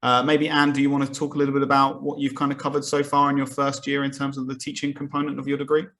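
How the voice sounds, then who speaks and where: A man's voice: medium pitch, monotone, fast, fairly bright tone. A man hosting a psychology podcast, summarizing his guests' answers and asking thoughtful questions with many fillers. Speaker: podcast host. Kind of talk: conversational interview questions.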